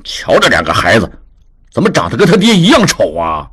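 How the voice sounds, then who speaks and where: A man voicing a proud, fussy old father-in-law who talks loud and huffy. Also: mid-range voice, wildly swooping pitch, brisk, husky, full character voice. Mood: blustering.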